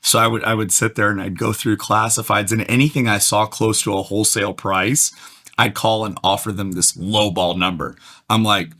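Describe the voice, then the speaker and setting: A man from Atlanta, slightly hoarse, telling his story as an energetic, fast-talking podcast guest. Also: lowish voice. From Atlanta, USA. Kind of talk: casual storytelling interview.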